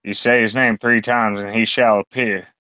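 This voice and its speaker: A man with a low, smooth voice rambles through a casual podcast chat, crude and heavy on fillers. He sounds close and dry. The recording is dull and lo-fi. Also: little pitch movement, normal speed, sharp tone, no room sound. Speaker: podcast co-host.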